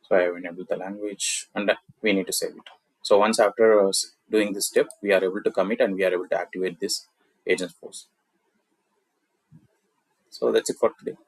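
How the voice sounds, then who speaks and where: A deep-voiced man with a South Indian accent, whose first language is Tamil, presents a software walkthrough. His intonation stays quite flat as he explains each step. The sound is very dry, with little room in it. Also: a little fast, pauses often, husky, no echo, poor audio. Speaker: tutorial presenter.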